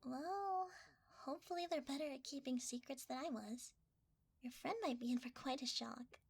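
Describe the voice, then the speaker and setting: A woman's voice: sits high, moderate pace, slightly rough. A woman voicing a shy, cuddly shapeshifting creature in an ASMR roleplay, hesitant and easily flustered, often half-whispering. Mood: soft, playful.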